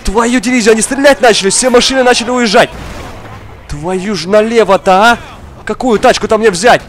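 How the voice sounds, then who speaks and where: A young man, slightly under the weather, giving excitable casual gameplay commentary, exclaiming, repeating words and talking straight to the viewer. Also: high-pitched, brisk, a little hiss or hum. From outside Russia. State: slightly ill, coughing now and then. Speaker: gaming streamer. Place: indoor room, seated at racing wheel.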